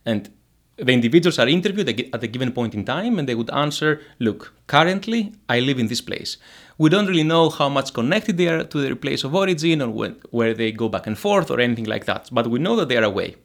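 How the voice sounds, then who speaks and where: A man's voice: mid-range voice, big pitch swings, a little fast. A man from Greece, explaining his economics research in an interview, full of fillers and restarts. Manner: hesitant, many fillers and restarts. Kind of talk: academic interview.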